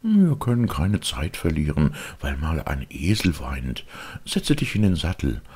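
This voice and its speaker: A man voicing a callous coach driver in a storybook, brisk, dismissive and commanding. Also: extremely low pitch, very animated, darkish tone, slightly rough, heavily acted. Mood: brusque. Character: brash, callous male coach driver hurrying everyone along.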